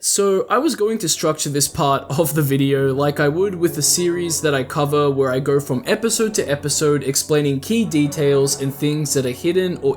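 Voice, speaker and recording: A man's voice: a little high, darkish tone. A man hosting a video essay, giving casual, opinionated commentary with a wry streak and frequent rhetorical questions. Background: background music.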